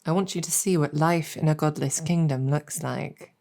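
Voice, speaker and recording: A woman playing a courteous, wry female demon general in an improvised ASMR roleplay, speaking softly with measured, hesitant phrasing. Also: low-pitched, steady tone, creaky. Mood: inviting, earnest.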